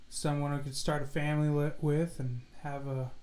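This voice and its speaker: Man, co-host, high-ish voice, joking, storytelling, roomy echo. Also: little pitch movement, moderate pace, darkish tone. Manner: joking, storytelling, acts out quotes. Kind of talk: casual livestream chat. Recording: echoey room.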